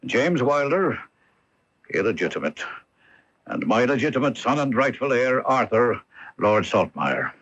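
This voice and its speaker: A dull, lo-fi radio drama recording features a proud aristocratic Duke. He speaks formally and deliberately in a mid-pitched, husky voice that rises and falls expressively, sounding troubled beneath his dignity. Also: sing-song, a bit bright. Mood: grave confession. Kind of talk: 1980s radio mystery acting.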